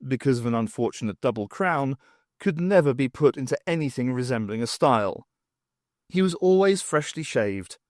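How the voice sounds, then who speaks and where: A man narrates a novel in an expressive, scripted style. His voice sits a little high and moves with a very lively range. The sound is dry, with no room echo. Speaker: audiobook narrator.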